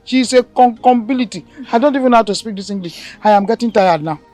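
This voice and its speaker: A woman's voice: a little high, fast talker, darkish tone, no room sound, natural voice. A woman playing a bossy, theatrical middle-aged mother in a comedy skit, scolding and dramatic. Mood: ranting. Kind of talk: comic skit acting.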